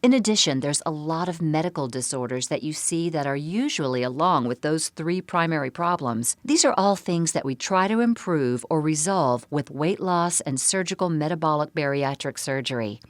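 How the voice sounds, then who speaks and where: A woman giving a scripted, explanatory narration for a medical presentation in a measured way. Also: sits low, expressive, no room sound. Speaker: seminar narrator.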